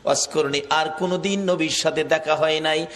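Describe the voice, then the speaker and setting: A man's voice: on the high side, steady tone, normal speed, a bit bright. A man preaching an Islamic sermon as dramatic, impassioned storytelling, moving between narration and melodic, tearful cries. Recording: long echoing hall reverb.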